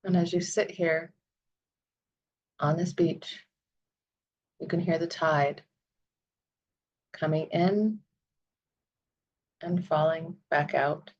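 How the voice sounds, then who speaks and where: A woman leading a guided visualization meditation, speaking in soothing, deliberate phrases with long pauses. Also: deep voice, fairly even intonation, darkish tone, highs cut off.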